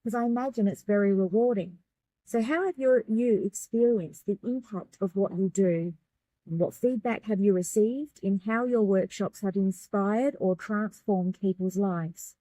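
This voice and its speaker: Woman podcast host, mellow tone, encouraging, dry recording. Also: medium pitch, a little slow, dry recording, low-quality recording. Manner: encouraging, affirming. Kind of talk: interview hosting.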